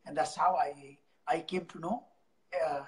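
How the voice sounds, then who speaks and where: A man from Nepal with a Nepali accent, a charity founder telling his story in an interview, halting and repeating words. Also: fairly high pitch, fairly even intonation.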